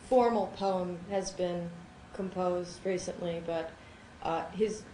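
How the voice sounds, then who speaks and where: A woman's voice: medium pitch, a little mellow. Woman in a roomy hall. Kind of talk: informal spoken tribute. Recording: roomy hall with faint noise.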